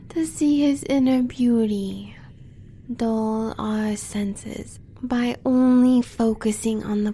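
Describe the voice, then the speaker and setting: An American woman playing a devoted, coolly bloodthirsty huntress in a romantic audio roleplay, breathy and often whispering. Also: on the high side, mellow, heavily acted. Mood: wistful, bloodthirsty. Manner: intimate, measured.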